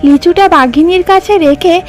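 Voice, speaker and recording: A woman's voice: extremely high pitch, normal speed. A woman narrating a children's cartoon story from a script.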